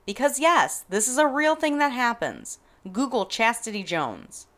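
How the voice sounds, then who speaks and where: A woman hosting a podcast, delivering a scripted opinion monologue in an emphatic, expressive way with playful asides. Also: a little high, a little slow. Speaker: podcast host.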